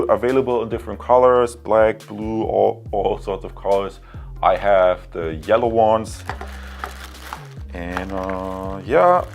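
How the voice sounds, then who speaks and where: A man's voice: fairly low pitch, darkish tone. A man talking casually and unscripted to viewers as a product reviewer, explaining things in a rambling, conversational way. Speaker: product reviewer, channel host.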